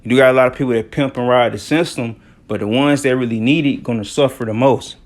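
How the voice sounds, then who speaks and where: A man's voice: mid-range voice, steady tone, fast. A man from the United States giving informal, slangy commentary on news and scripture, often punctuating his talk with filler phrases. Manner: conversational, slangy, repeats "all right" and "you know". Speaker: religious commentator.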